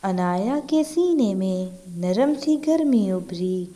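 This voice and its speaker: A woman's voice: medium pitch, animated, slow pace, warm tone. A woman narrating a scripted fairy tale as a storyteller.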